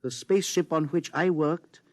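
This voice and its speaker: A man doing a measured avenging engineer, slightly high voice, dry studio, old radio play. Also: steady tone, dry recording, close to an everyday voice. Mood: grave. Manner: measured, formal, quietly accusing. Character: bitter foreign male engineer, calm avenger. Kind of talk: 1950s radio drama acting.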